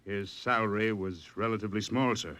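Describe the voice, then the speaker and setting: An actor playing a deferential, earnest engineer in a 1950s radio drama, speaking formally and carefully on an old recording. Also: male voice, on the low side, a little fast, fairly bright tone, clean tone, close to an everyday voice. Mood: grave, restrained. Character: deferential, earnest male engineer reporting to his boss.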